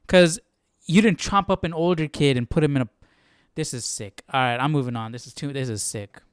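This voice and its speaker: A man's voice: fairly high pitch, a little fast, clear, resonant tone, no room sound, studio quality. A man hosting a live Bible study, reacting casually and incredulously, often repeating himself.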